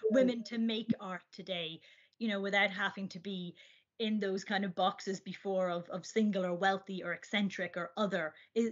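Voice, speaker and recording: Young woman interviewing over dull video call. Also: mid-range voice. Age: young adult. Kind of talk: conversational interview questions. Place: room indoors at home. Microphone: video call computer mic.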